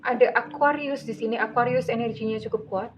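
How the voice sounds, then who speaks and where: A woman's voice: fairly high pitch, fairly even intonation, fairly quick, slight background noise. A middle-aged woman with a Javanese-influenced accent, giving a soothing tarot reading to her viewers, calling them dear. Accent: Javanese-influenced Indonesian. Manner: soothing, addresses listener as dear, calls herself Bunda. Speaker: tarot reader.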